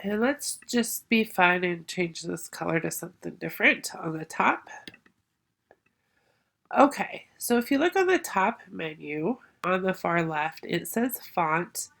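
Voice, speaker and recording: Woman with a gravelly, congested voice. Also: fairly low pitch, big pitch swings, unhurried, fairly bright tone. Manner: friendly step-by-step explaining. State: congested from a sinus infection. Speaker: craft tutorial host. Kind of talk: screen-share software tutorial.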